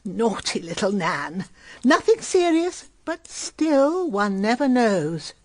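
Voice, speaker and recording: A gentle old grandmother in a period radio play. She speaks slowly and tenderly, with an expressive rise and fall and a knowing, reflective air. The sound is close and dry. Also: mid-range voice, dry recording. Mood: knowing, concerned. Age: older. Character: elderly, gentle, shrewd grandmother who sits knitting. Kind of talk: period radio drama acting.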